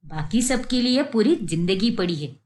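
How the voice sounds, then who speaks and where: A woman's voice: mid-range voice, animated, normal speed, a little mellow, natural voice. A woman voicing a concerned mother who advises earnestly in a dramatic story. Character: concerned, admonishing mother of an actress.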